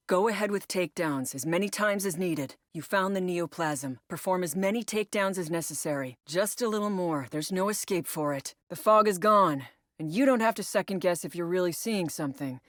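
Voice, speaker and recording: A woman playing a composed female operations agent in a video game, briefing a squad in a measured, matter-of-fact way. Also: lowish voice, dry recording, clean studio sound, close to an everyday voice. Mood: focused, instructive. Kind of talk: scripted video game voice lines.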